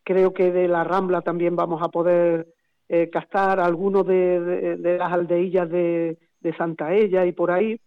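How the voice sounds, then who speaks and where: A man's voice: high-pitched, flat delivery, unhurried, clear, resonant tone. A man, a football coach speaking by phone, hesitant and repeating words. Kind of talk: phone interview. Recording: tinny phone line.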